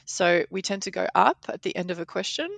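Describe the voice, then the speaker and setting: Woman from poland, 40s, bit of fry in her voice, explains stuff slowly w examples, close mic. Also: fairly low pitch, dry recording. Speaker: podcast editor and language teacher. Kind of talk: casual interview chat.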